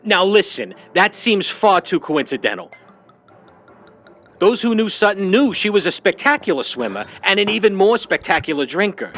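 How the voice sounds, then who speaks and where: An actor playing a wary, slangy Hollywood agent in a hard-boiled 1940s-style noir audio drama. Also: male voice, high-pitched, sing-song, moderate pace, a bit bright, heavily acted. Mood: insistent. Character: wary fast-talking old Hollywood talent agent, man.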